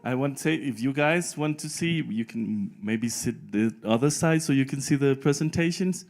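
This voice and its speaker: Relaxed man chairing a conference panel. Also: medium pitch, moderate pace. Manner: relaxed, speaks English as a second language with tag "no?". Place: conference room.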